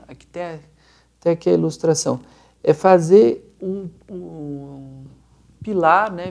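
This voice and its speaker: Brazilian man, middle-aged, creaky fry in the voice, informal presenter, casual, rambling, thinking aloud. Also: high voice, a little mellow. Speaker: lighting designer presenting a project video.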